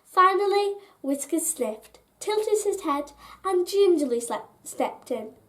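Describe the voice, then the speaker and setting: A woman reading a children's bedtime story aloud in an expressive, deliberate way, as a storyteller. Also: extremely high pitch.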